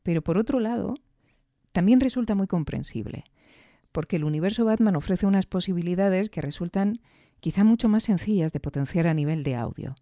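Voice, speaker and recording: A woman's voice: fairly low pitch, expressive, a little fast, dark tone, no echo, studio quality. A woman hosting a podcast, giving reflective commentary with rhetorical questions and emphatic repetition. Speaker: podcast host. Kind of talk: reflective commentary on audio fiction.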